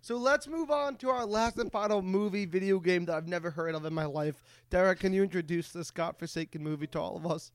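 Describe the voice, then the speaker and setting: High-voiced man in irreverent casual chat. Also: brisk, hardly any pauses, clear, resonant tone, no room sound. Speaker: podcast co-host.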